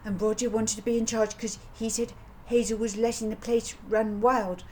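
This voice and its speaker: Earnest little girl, played by a woman, raised pitch, eager run-on storybook telling, faint noise. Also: level tone, normal speed, a little mellow, close to an everyday voice, rough recording. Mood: indignant, eager. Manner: childish, breathless run-on telling. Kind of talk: children's audiobook character voice. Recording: audible background noise.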